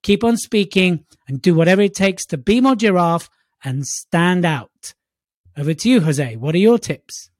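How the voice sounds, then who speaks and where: A man's voice: sits high, lively intonation, moderate pace, dry recording, studio quality. A man co-hosting a podcast, giving emphatic motivational tips on public speaking and repeating key phrases for punch. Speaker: podcast co-host. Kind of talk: upbeat motivational tips chat.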